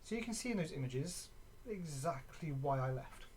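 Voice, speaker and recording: Gaming vlogger chatting casually, kinda halting, mid pitch voice, bit of background noise. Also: animated, a little mellow. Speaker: gaming video creator. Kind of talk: casual vlog chat showing items. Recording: clearly noisy background.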